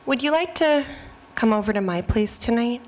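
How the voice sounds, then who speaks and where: A woman's voice: fairly high pitch, lively intonation, a little mellow, slight background noise, close to an everyday voice. A woman playing a brazen flirty librarian. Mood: flirtatious. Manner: sly, suggestive, quick-talking. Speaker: stage actor in a live radio play.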